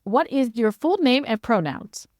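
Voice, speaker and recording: A woman's voice: fairly high pitch, sing-song, a little slow, darkish tone, no room sound, studio quality. A woman hosting a podcast, asking questions in a conversational interview style.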